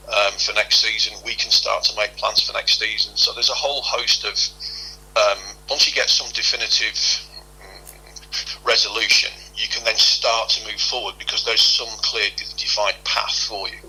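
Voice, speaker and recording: A man's voice: lowish voice, sharp tone, slightly rough, low-quality recording. A middle-aged man answering interview questions as a football club official, explaining at length and repeating himself as he goes. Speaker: football club head of operations, interview guest.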